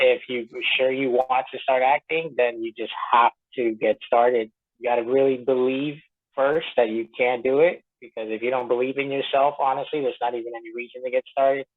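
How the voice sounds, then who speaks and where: Man on a tinny phone line. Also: mid-range voice. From Tampa Bay, Florida, United States; born in Puerto Rico. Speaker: actor being interviewed. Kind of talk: casual interview answers.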